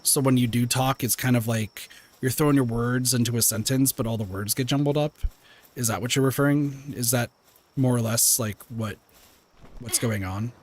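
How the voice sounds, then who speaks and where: A man's voice: mid-range voice, fairly even intonation, moderate pace, a little mellow. A man with a soothing voice, casually chatting with viewers while streaming a game and rambling with lots of fillers and restarts, now and then swearing mildly. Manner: mild swearing.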